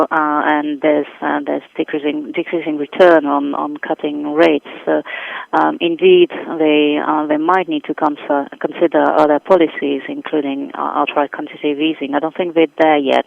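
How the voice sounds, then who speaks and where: A woman's voice: low-pitched, gravelly, dry recording. A woman speaking by telephone as an economic expert, giving spontaneous commentary in a hesitant way, repeating words as she reasons. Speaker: senior economic advisor, interview guest. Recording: dull, muffled phone line.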